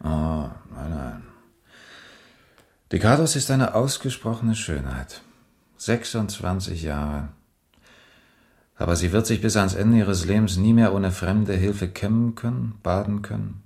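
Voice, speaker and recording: An actor playing a calm, methodical police major in a radio drama, measured and matter-of-fact with a dry weariness. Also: male voice, low voice, gravelly, exaggerated voice. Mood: weary, sober. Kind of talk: 1980s German radio drama acting.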